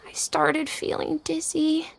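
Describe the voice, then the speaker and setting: An innocent, ailing little boy from a video game. The voice is very high, weak and slow, creaking as it goes, with faint music behind. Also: monotone, a little hiss or hum, heavily acted. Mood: timid. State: weak, feverish.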